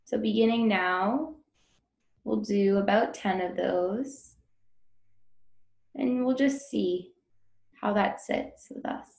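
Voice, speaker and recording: A woman guiding a breathing meditation in a gentle, informal and unhurried instructional manner. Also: mid-range voice, gravelly, echoey room. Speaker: meditation guide.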